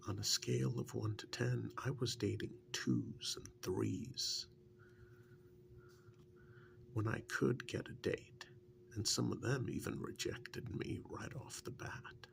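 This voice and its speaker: Guy playing a bitter devoted boyfriend, soft asmr roleplay, super fry heavy near whisper, rambly, faint rumbly noise behind. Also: steady tone, slow, heavily acted. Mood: bitter, aggrieved. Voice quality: soft, breathy, often half-whispered. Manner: rambling, confiding, aggrieved. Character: embittered former kidnap victim, now devoted male partner. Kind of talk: soft-spoken ASMR role-play monologue.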